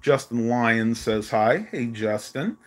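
A man chats through a home live stream, his voice worn and going hoarse. He rambles loosely, filling gaps with ums and uhs. The sound comes from a phone held at arm's length in a small bedroom. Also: mid-range voice, animated, slow pace. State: losing his voice. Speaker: vlogger. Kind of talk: casual live stream chat.

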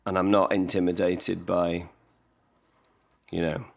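Man, 40s, very low and gravelly voice; loose, self-deprecating podcast guest on a home desk mic. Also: flat delivery, slow. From England. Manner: rambling, self-deprecating, many restarts. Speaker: guest songwriter. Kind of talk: casual podcast conversation. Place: living room at home. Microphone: desk mic on stand, video call.

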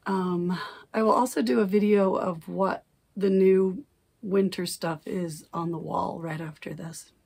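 A woman's voice: on the low side, fairly even intonation, unhurried, vocal fry, darkish tone, breathy edge. A middle-aged woman vlogging casually from her craft room, rambling and correcting herself, her voice still recovering after she lost it. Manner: rambling, self-correcting, chatty. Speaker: cross-stitch vlogger. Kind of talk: casual chat. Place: home craft room, indoors. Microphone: camera at desk distance.

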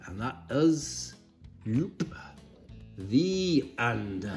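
A man reading a children's storybook aloud in a playful, expressive way with cheeky asides. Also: mid-range voice, extremely slow, gravelly, soft background music. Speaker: storybook reader. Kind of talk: animated read-aloud for children.

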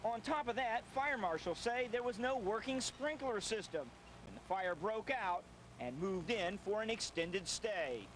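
A male reporter narrating a news package with crisp delivery. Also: sits high, expressive, a little slow, fairly bright tone, a little hiss or hum, rough recording.

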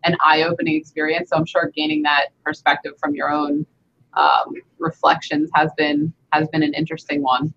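A woman's voice: sits low, flat delivery, normal speed, creaky, highs cut off. A young woman hosting an interview from her home gym over a video call, chatting casually with many "you know"s. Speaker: host.

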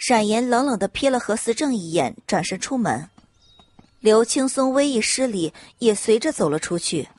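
A woman's voice: a little high, lively intonation, normal speed, a little mellow, no echo. A woman reading scripted audiobook narration as the storyteller. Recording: music under the narration.

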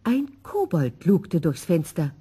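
A woman reading a children's story in an expressive, scripted narrating style. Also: lowish voice, takes it slowly, noisy background.

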